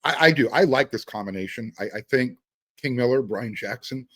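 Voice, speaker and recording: A man's voice: mid-range voice, fairly quick, fairly bright tone, no room sound. A man hosting a casual sports talk show, giving his opinions as a fan and putting questions to a guest. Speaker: sports podcast host.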